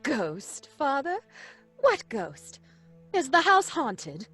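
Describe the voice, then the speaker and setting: An actress with a British accent playing an excitable, easily frightened young daughter in an old-fashioned radio drama. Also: female voice, high voice, extremely slow, soft background music, heavily acted. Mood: nervous. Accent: British English.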